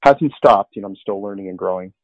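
A middle-aged man tells his story in an earnest, rambling way, often restarting his sentences. His voice sits on the low side and sounds dry and close. The sound is narrow and tinny, like a phone line. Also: fast talker, dry recording. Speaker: interview guest. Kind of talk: conversational testimony.

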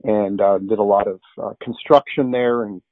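A man's voice: fairly low pitch, fairly even intonation, moderate pace, dry recording. A middle-aged man giving a conversational testimony as an interview guest, earnest and rambling with restarts. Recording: narrow phone-line sound.

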